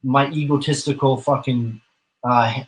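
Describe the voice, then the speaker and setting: A man's voice: mid-range voice, steady tone, measured pace, poor audio. A man chatting casually as an interview guest, rambling with many fillers and the odd curse. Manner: rambling, frequent fillers and swearing. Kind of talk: casual chat.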